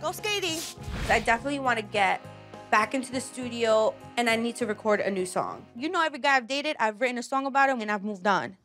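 A woman's voice: on the high side, fast, fairly bright tone. A young woman, a singer, talking casually and candidly about herself on a reality show. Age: young adult. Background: background music.

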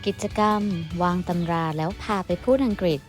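A woman's voice: lowish voice, very fast, soft background music. A woman English teacher, narrating a classroom activity in an upbeat announcing way. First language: Thai. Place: school classroom.

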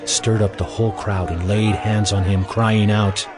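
A man narrating a dramatized audio Bible as measured, scripted storytelling. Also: lowish voice, flat delivery, background noise.

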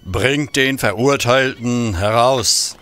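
An actor playing a stern, solemn nineteenth-century judge in a radio play, formal and commanding. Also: male voice, mid-range voice, slow, a bit bright, a little hiss or hum. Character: stern, solemn 19th-century male judge presiding over an execution.

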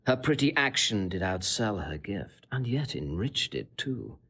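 An actor playing a sly, boastful gentleman seducer in classical Shakespearean audio drama, insinuating and relishing every detail. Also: on the low side, sing-song, measured pace, slightly rough, heavily acted. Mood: mocking. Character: sly, boastful Italian gentleman, smooth-tongued seducer taunting a husband.

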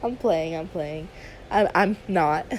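A woman's voice: lowish voice, takes it slowly, a little hiss or hum, rough recording. A woman playing a friendly, trusting young female YouTuber in a conversational ASMR roleplay, chatty and confiding with some hesitation. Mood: friendly, hesitant. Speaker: roleplay audio performer.

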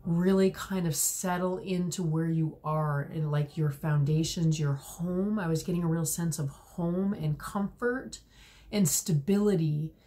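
A woman in her forties does a tarot reading at a table in a small room at home, picked up by the camera mic. Her voice sits low and mellow and is a little hoarse from a cold. She speaks gently and unhurriedly, with frequent fillers and restarts. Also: steady tone, a little slow. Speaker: tarot reader.

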